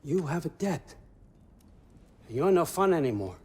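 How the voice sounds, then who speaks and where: An actor playing a shrewd older Norse chief god in a video game, glib and casually threatening in a wry, conversational way. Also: male voice, a little high, big pitch swings, measured pace. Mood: wry, needling. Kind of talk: cinematic video game acting. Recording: faint background noise.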